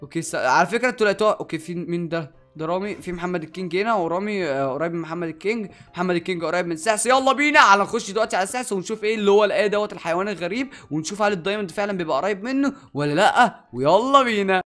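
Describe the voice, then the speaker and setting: A young man with an Egyptian Arabic accent hosting a gaming video in an animated way, addressing viewers and repeating phrases. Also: high voice, very fast, hardly any pauses, faint music behind. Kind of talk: excited gameplay commentary.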